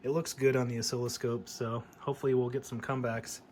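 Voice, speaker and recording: A man's voice: mid-range voice, flat delivery, quick pace, a little mellow, a little hiss or hum. A middle-aged American man chatting casually and nostalgically, in a rambling show-and-tell style. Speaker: hobbyist vlogger showing a CB radio.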